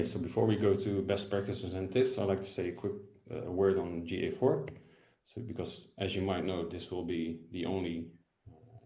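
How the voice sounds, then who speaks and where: Young guy doing a webinar tutorial, dark mellow voice, bit echoey room. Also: low voice. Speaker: webinar presenter. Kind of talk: explanatory software tutorial. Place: indoor room, video call. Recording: roomy echo.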